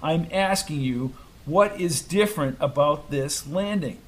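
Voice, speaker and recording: A man's voice: a little high, unhurried, close to an everyday voice. A man playing a blunt, sarcastic male reporter. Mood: pushy. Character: aggressive male journalist, sarcastic and combative.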